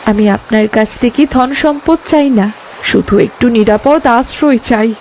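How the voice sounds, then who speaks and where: A woman voicing a gentle, dignified young fairy princess in an animated fairy tale, speaking politely and formally. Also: fairly high pitch, a little slow, a little hiss or hum. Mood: grateful, gentle.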